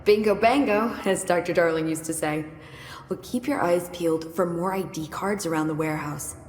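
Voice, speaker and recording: An actress playing a witty, curious young research scientist in a video game, explaining things in a precise, wry, chatty way. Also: female voice, fairly low pitch, normal speed, darkish tone, lightly acted. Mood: chatty, playful. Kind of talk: video game dialogue acting. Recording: echoey hall reverb with noisy game ambience.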